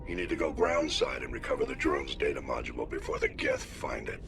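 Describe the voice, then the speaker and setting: A commanding officer with an extremely deep, gravelly voice. He briefs formally and with authority in a game, music behind him. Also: fairly quick. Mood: businesslike. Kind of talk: military radio briefing in a game.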